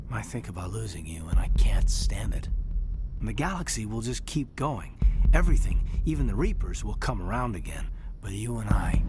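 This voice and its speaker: An actor playing an earnest, loyal military officer in a video game, tender and dutiful, hushed and hesitant in manner. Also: male voice, medium pitch, very noisy, close to an everyday voice. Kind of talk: cinematic video game dialogue.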